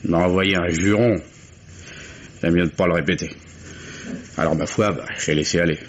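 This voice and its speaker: A gruff old seaside fisherman, played by a man in a vintage radio play, guarded and grumbling as he talks. His voice sits very low and deep. The sound is dull and muffled, with a faint hiss behind it. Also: steady tone, normal speed, lightly acted. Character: gruff old oyster fisherman Pascal, reluctant witness. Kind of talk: 1950s French radio drama acting.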